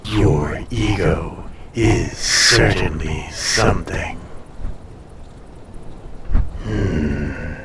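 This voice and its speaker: A man playing a proud, teasing ancient dragon in an intimate roleplay audio, speaking in a condescending, often hushed and breathy way. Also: deep voice, extremely slow, long pauses, crowd noise, exaggerated voice.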